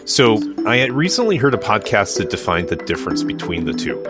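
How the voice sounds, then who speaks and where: A man's voice: on the low side, big pitch swings, brisk, over loud music. A man hosting a casual travel podcast, talking in an upbeat way with some fillers. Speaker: podcast host.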